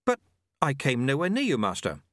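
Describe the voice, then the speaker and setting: A man's voice: mid-range voice, wildly swooping pitch, normal speed, a bit bright, no echo, studio quality, pitched above the performer's own voice. A man voicing a hesitant, respectful young male novice who hedges with filler sounds.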